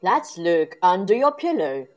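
A woman reading a storybook aloud and voicing a jolly father pig in a loud, hearty tone. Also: lowish voice, sing-song, unhurried, voice pushed up.

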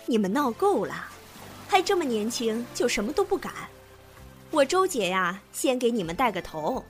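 A woman's voice: fairly high pitch, sing-song, moderate pace, soft background music. An actress playing a confident, bossy, sociable woman, the elder among friends, in a Chinese audio drama. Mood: teasing, bold.

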